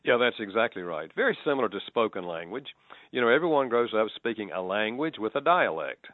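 A man from the American South talks as a radio guest, folksy and explanatory. His voice sits low, with a dry, phone-line sound that thins it out. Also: sing-song, brisk, dry recording. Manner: folksy, explanatory, chuckles at own jokes. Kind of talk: radio interview.

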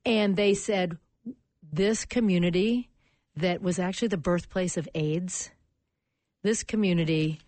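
A lower-pitched American woman tells a heartfelt story as a guest on live radio. She is animated and emotional. The studio sound is dry and close. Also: unhurried, long pauses, dry recording. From United States. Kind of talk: interview storytelling.

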